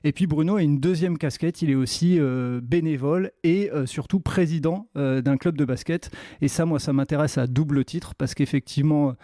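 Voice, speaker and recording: A man's voice: on the high side, normal speed, dark tone, no echo, clean studio sound. A man hosting a podcast interview, introducing his guest in a friendly way with frequent fillers. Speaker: podcast host.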